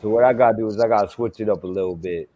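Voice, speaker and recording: A man's voice: on the low side, racing, dark tone, dull audio. A man in his forties telling stories in a loose, laughing way, swearing freely in casual chat. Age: 40s.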